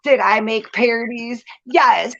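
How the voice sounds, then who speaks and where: A woman's voice: fairly high pitch, unhurried, gravelly, muffled recording. A woman venting candidly and casually as host, blunt and profane, leaning hard on repeated phrases for emphasis. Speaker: host of a live commentary show.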